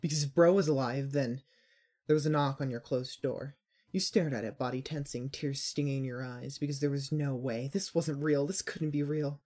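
A man narrating a dramatic second-person story in a solemn, deliberate, emphatic way. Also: mid-range voice, steady tone, a little mellow.

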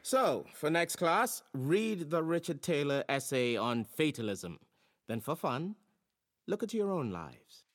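An actor playing a forceful philosophy professor in an audio drama, lecturing in an emphatic, theatrical and blunt manner. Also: mid-range voice, big pitch swings, unhurried. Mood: commanding.

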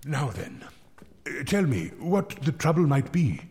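An actor playing a kindly medieval English friar in an audio drama, speaking gently and earnestly in formal old-fashioned phrases. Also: male voice, on the high side, big pitch swings, unhurried. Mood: gentle, concerned. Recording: echoey hall reverb with music.

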